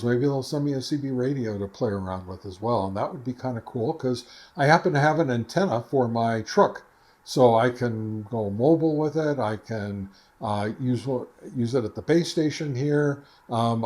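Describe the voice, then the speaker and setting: A man's voice: mid-range voice, darkish tone. A man hosting a ham radio video, chatting casually and playfully from his home radio shack, rambling with plenty of ums and uhs. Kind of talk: casual vlog chat.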